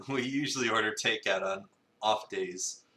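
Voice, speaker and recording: A man's voice: lowish voice, a little slow, sharp tone. A man playing a grandly theatrical, suave cafe owner in a visual novel reading, with pompous, formal phrasing. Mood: grand, genial. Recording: roomy, echoey sound.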